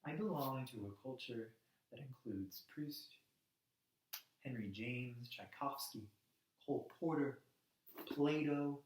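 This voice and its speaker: A man's voice: a little high, fairly bright tone. Man acting an impassioned activist, echoey room. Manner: emphatic, halting delivery in short weighted phrases. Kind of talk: theatrical dramatic monologue.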